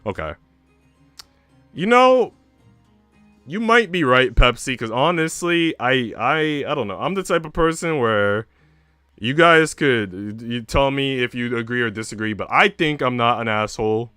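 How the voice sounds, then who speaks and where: A man's voice: mid-range voice, expressive, unhurried, bright, forceful tone, clean tone, no echo, studio quality. A young American man chatting casually with livestream viewers in slangy, informal banter, swearing freely. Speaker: livestreamer and host. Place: indoor room at home. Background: music.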